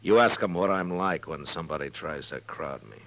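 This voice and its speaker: An actor playing a stern, laconic frontier marshal in a measured 1950s radio western style. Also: male voice, very deep voice, a bit bright. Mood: quietly firm. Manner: measured, understated, firm. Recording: old radio drama, murmuring crowd behind.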